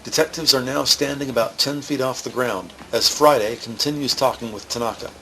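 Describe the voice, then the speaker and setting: A man narrating a scripted crime audio drama in the present tense, measured and descriptive. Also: medium pitch, fairly bright tone, a little hiss or hum, low-quality recording. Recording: faint music behind.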